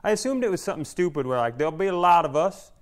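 A man hosting a comedy podcast in casual banter, sardonic and quick to swear. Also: fairly high pitch, animated.

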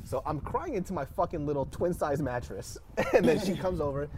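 A man telling animated family stories in a casual podcast chat, laughing as he goes. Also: on the high side, brisk, noisy background. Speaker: podcast guest. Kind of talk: casual storytelling.

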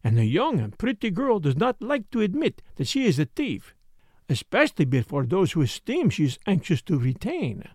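A man's voice: high voice, wildly swooping pitch, a little mellow, breathy edge, no room sound, heavily acted. A man voicing a shrewd, dapper foreign detective who speaks courteously and precisely, with dry formality, in an audiobook mystery. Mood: dry, explanatory. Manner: formal, precise, measured phrasing with French interjections.

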